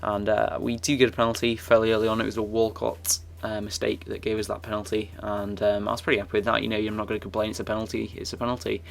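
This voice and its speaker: A young man talks through his football video game match in a loose, rambling way, full of fillers and restarts. His voice sits low and stays fairly flat, with a croaky, gravelly edge. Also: fast talker, few pauses. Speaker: gaming YouTuber. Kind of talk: casual game commentary.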